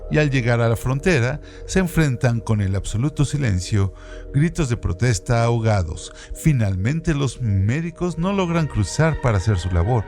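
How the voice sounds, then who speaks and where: A man presenting a radio program, reading literary trivia from a script in a measured, even way. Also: mid-range voice. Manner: measured, reads evenly from a script. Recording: music bed under voice. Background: background music.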